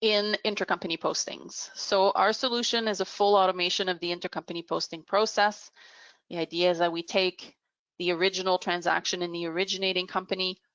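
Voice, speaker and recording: A woman giving an explanatory business software demonstration in measured phrases with hesitant pauses. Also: fairly low pitch, fairly even intonation, clean tone, no echo. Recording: dull, muffled lo-fi audio.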